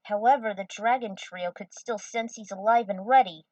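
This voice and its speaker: A woman's voice: medium pitch, moderate pace, a little mellow, no room sound, rough recording. A woman narrating a fan story and commenting over game scenes in a dramatic storytelling style.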